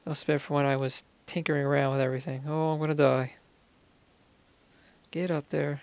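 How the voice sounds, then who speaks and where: A man's voice: a little high, level tone, brisk, a little mellow, dry recording. A man giving casual gameplay commentary, muttering to himself and counting under his breath. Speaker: gaming video creator.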